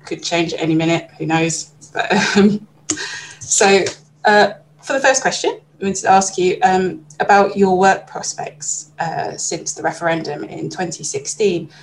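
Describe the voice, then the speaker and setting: A woman's voice: on the low side, a little slow, slight background noise, low-quality recording. A woman moderating a panel discussion, putting questions to guests and chatting with frequent ums.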